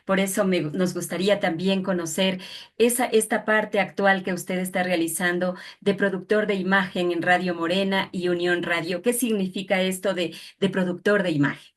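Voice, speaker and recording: A woman's voice: on the low side, steady tone, moderate pace. A woman conducting a conversational interview in a courteous, formal manner.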